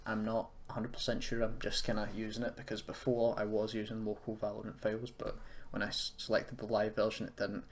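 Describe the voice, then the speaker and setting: A man giving a casual software tutorial, rambling and thinking aloud with self-deprecating asides. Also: fairly low pitch, completely flat delivery, a little fast, hardly any pauses. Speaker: tutorial host. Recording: clearly noisy, keyboard clicks and typing. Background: background noise, computer keyboard and mouse clicks.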